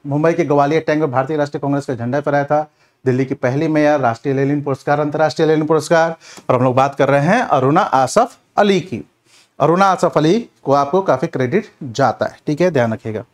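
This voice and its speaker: A man teaching an exam revision class in question-and-answer form, addressing students directly with repeated check-in tags. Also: a little high. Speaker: history teacher for competitive exams.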